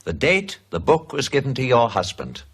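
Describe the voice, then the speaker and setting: An actor playing a careful, probing investigator in a radio drama, with polite, hesitant phrasing. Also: male voice, mid-range voice, huge pitch swings, moderate pace, very noisy. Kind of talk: British radio drama acting.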